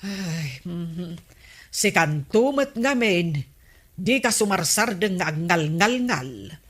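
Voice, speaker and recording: A woman's voice: on the low side, animated, slow pace, a bit bright, slightly rough, exaggerated voice. A woman playing a frank, chatty neighbour in a radio comedy drama. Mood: chiding.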